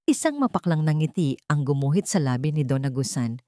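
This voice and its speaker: Woman narrator, measured dramatic storytelling, lowish voice, dry close recording. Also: very animated, mellow, no room sound.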